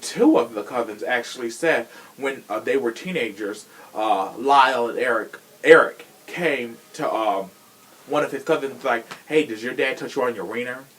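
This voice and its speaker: Male podcast host, mid-pitched, casual and slangy, with sassy, blunt delivery. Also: moderate pace, a bit bright, low-quality recording. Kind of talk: casual true crime podcast chat.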